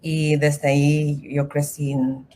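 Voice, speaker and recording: Slow, hesitant woman from the Philippines. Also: low-pitched, flat delivery, mellow, a little hiss or hum. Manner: hesitant, many fillers, slips into English. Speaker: guest. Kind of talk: nervous testimony in halting Spanish.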